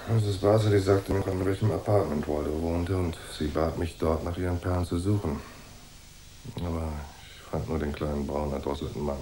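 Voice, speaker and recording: Guy playing cool unflappable detective telling his story, radio drama, deep mellow voice, bit of background noise. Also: fairly even intonation, moderate pace, lightly acted. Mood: cool, matter-of-fact. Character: cool, unflappable hard-boiled male private detective. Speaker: first-person narrator and detective. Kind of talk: noir radio drama narration and dialogue.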